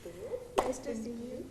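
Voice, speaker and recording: High-voiced woman hosting in noisy, echoey room. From Germany. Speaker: focus group organiser. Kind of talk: casual welcoming chat. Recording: echoey room, loud noise, clicks.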